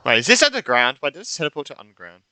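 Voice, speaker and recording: A man's voice: a little high, very animated, very fast, bright, forceful tone, a little hiss or hum. A man giving casual let's-play commentary, thinking aloud, repeating himself and reading game dialogue out. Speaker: gaming streamer.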